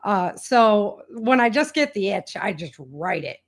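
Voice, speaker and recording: A woman's voice: mid-range voice, very animated, a little slow. A woman speaking as an enthusiastic, chatty interview guest, in a casual conversational style. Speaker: interview guest, indie author and podcaster.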